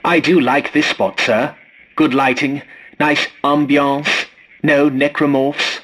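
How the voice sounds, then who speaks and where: An actor playing a fussy, polite, snarky scavenger robot in a video game, with a prim, formal and dryly sarcastic delivery. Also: male voice, a little high, fairly even intonation, slow, fairly bright tone, rough recording. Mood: chipper, snarky. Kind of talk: scripted video game character lines.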